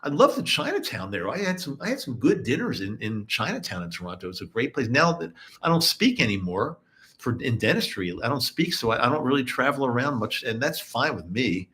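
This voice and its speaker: A man's voice: mid-range voice, big pitch swings, brisk, bright, forceful tone. A middle-aged man from the US East Coast hosting a live podcast at home, chatting casually with listeners and often repeating himself. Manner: rambling, repeats words and restarts often. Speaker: podcast host. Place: home, in Utah.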